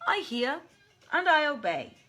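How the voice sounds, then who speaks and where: Woman putting on an obsequious male ifrit, high and swooping. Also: big pitch swings, measured pace, sharp tone, soft background music, heavily acted. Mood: obedient. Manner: servile, florid, archaic. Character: obsequious male ifrit, flattering yet stubbornly boastful.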